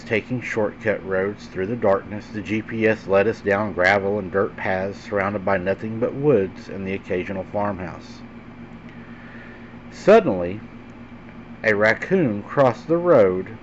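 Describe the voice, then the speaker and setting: A man with a fairly low voice narrates a horror tale in a dramatic, suspenseful manner. His phrasing is deliberate and emphatic. The recording is dull and lo-fi, with faint noise behind him. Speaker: host and narrator of a paranormal story show. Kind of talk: dramatic scary-story narration. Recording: dull lo-fi sound with faint background hum.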